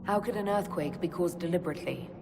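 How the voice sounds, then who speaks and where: An actress playing a composed, decisive elven mage leader in a video game, direct and inquisitive. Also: female voice, on the low side, quick pace, creaky, a little mellow, slight background noise. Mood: curious. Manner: direct, inquisitive, self-possessed. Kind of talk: video game dialogue acting. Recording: game music under the voice. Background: game music.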